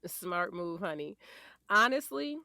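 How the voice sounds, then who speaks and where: Actress as sassy, loving wife; lively intonation, slightly low voice, close dry audio drama sound. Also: female voice, takes it slowly, vocal fry, no room sound, exaggerated voice. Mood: playful, fond. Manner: sassy, playful, affectionate. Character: affectionate, sassy middle-aged wife and doting mother.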